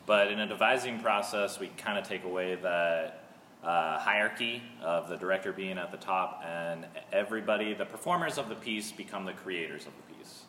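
A man's voice: deep voice, moderate pace, sharp tone. An American man casually explaining a creative process as a guest in an interview. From Seattle, United States. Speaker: theater artist, interview guest. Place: artist's studio. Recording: echoey reverberant room, faint noise.

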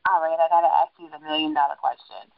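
Playful interviewer, gravelly voice, teasing questions, dull dry recording. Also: mid-range voice, little pitch movement, quick pace, no room sound. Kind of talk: casual interview chat.

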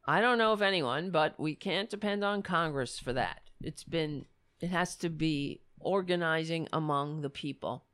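A woman hosting a political talk show, speaking with fiery, rallying conviction and frequent restarts, her voice going hoarse. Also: sits low, moderate pace, fairly bright tone. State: losing her voice. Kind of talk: impassioned political commentary.

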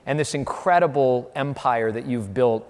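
A man moderates an onstage interview, his voice carrying long hall reverb. He has a mid-range pitch and speaks in articulate, measured, rather formal phrases. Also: a little mellow. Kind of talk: prepared onstage interview introduction. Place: large hall, on stage before an audience.

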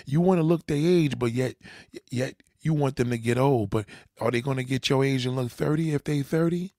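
A man with a gravelly, fry-edged voice at an ordinary pitch lectures bluntly, swearing now and then. He keeps checking that the listener understands. The sound is dry and close. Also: moderate pace, dark tone, dry recording. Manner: lecturing, repeats "you understand?". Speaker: dating advice commentator. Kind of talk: reaction commentary.

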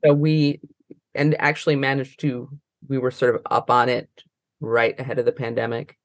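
A woman's voice: a bass voice, little pitch movement, normal speed, no room sound. An American woman talking casually as an interview guest, rambling and often trailing off mid-thought. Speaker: interview guest, librarian and trainer.

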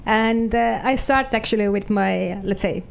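A woman with an Estonian accent, introducing herself on a panel in a halting way with many fillers. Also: mid-range voice, gravelly.

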